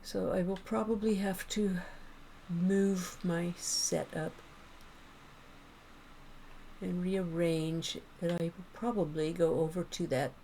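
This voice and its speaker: Woman chatting thru a game stream, kinda low voice with a gravelly edge, rambly. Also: fairly even intonation, slow, dark tone, a little hiss or hum, rough recording. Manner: chatty and rambling, thinks aloud. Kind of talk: casual gameplay chat with viewers.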